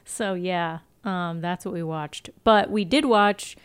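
A woman's voice: on the low side, slow pace, clear, resonant tone. A woman co-hosting a podcast in casual chat, with wry, dry joking. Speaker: podcast co-host.

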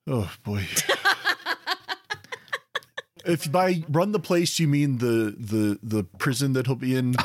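An American man talking casually as a podcast panellist, with a somewhat halting delivery. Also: mid-range voice, darkish tone. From United States. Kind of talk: casual chat.

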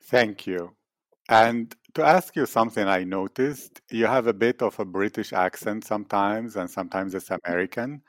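Low-voiced interviewer, dry close sound. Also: male voice, dry recording. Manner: measured, probing, formal. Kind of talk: interview questions.

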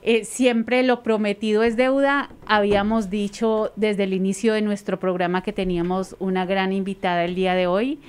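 A woman's voice: mid-range voice, darkish tone, clean tone. A woman hosting a talk show, introducing her guest with enthusiastic, repetitive praise and filler sounds. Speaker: radio show host. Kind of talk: interview introduction.

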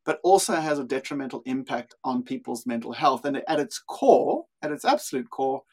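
A man's voice: medium pitch, very animated, normal speed, slightly rough. South African man, thoughtful restarts. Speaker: guest, leadership consultant. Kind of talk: podcast interview.

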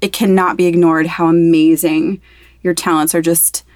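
A woman's voice: on the low side, flat delivery, normal speed, darkish tone, no room sound, clean studio sound. A woman hosting a podcast interview, talking in an enthusiastic, effusive way. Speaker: podcast host.

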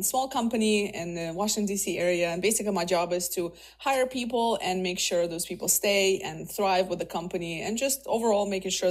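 A chatty woman in her thirties, from Russia with Russian as her first language, telling her career story as a guest. Her voice sits at an ordinary middle pitch, and she breaks off and restarts her thoughts often. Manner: chatty, many fillers and restarts. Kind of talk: casual interview.

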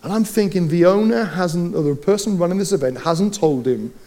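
Welsh pastor guy, highish voice, preaching with stories and little jokes. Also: brisk, warm tone. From Wales. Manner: storytelling, playful asides. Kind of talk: sermon.

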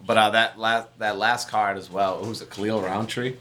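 A man's voice: lowish voice, fairly even intonation, normal speed, a bit bright. A young American man co-hosting a podcast, blunt and contrarian in casual banter, swearing freely. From United States. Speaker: podcast co-host.

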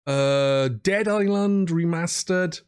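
A man's voice: high-pitched, slow pace, clear, resonant tone. A man hosting a podcast, chatting informally and modestly about video games. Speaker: podcast host.

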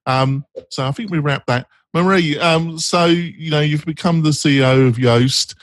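A man's voice: a little high, normal speed, no echo. A man with a strong North London accent, hosting a panel in a chatty, joking way. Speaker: panel host. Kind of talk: panel discussion.

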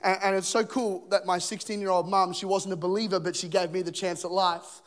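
Joking high-voiced Australian pastor. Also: male voice, flat delivery, a little fast. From England. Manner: jokey, self-deprecating, acts out voices. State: voice a bit rough. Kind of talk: humorous sermon. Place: church auditorium.

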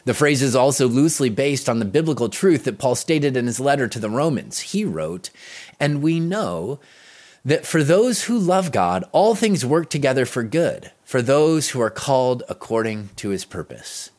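Man reading a devotional with lively, varied pitch. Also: normal speed. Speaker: devotional host and pastor. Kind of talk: devotional reading, scripted narration.

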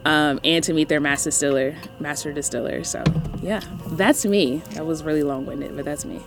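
A woman's voice: low-pitched, moderate pace, vocal fry, music in the background. A young woman from North Carolina with a Northeastern accent and Southern phrasing, chatting enthusiastically on a podcast with many ums. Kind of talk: casual podcast chat.

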